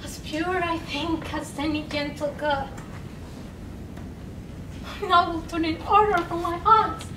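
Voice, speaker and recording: High-voiced young woman in slow dramatic reading. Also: steady tone, distant mic. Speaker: contest reader. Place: large college event room. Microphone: far camera, standing away from mic. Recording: echoey room recorded from afar, noisy.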